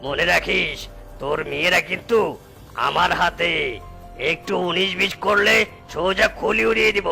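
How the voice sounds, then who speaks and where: A man voicing a menacing male kidnapper villain in a cartoon, gravelly and bullying. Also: high-pitched, steady tone, moderate pace, pushed and bright, soft background music, heavily acted. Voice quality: gravelly, harsh. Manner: threatening, bullying. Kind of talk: cartoon voice acting.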